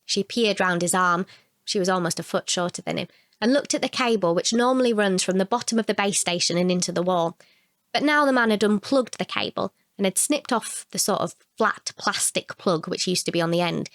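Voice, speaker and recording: A woman reading a short story as a narrator, in an expressive, measured storytelling manner. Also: medium pitch, dry recording, studio quality.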